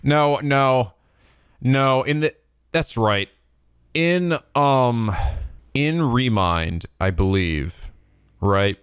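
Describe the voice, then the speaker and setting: A man's voice: medium pitch, lively intonation, very slow, pauses often, fairly bright tone, smooth, no echo, studio quality, soft background music. A man giving casual, playful reaction commentary to game cutscenes, joking and swearing freely. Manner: profane, jokey, playful.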